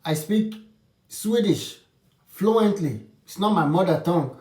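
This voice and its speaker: A man with a fairly high voice speaks his mind in a frank, opinionated way, breaking off and restarting as he goes. He sits some distance from the mic, and the room answers with a noticeable echo. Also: lively intonation, unhurried, darkish tone. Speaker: vlogger. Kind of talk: casual advice talk to camera.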